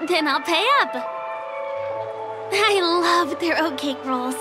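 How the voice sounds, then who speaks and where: Game role: cheerful young female guide, very high pitch, gentle, courteous, keen to help; music underneath. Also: takes it slowly. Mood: friendly. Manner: polite, friendly, eager to please. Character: cheerful, gentle young woman, local tour guide and performer. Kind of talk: English video game dub dialogue. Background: background game music.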